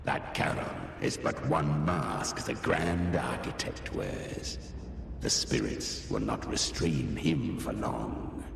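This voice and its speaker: Very deep, crackling, composed game figure. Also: male voice, expressive, gravelly, full character voice. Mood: cool, wary. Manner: measured, knowing, formal. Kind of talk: video game cutscene acting. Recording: echoey, distant hall with faint noise.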